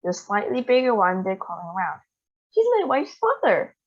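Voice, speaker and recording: A woman doing a calm, amused father. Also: medium pitch, normal speed, highs cut off. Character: cheerful middle-aged father, a man.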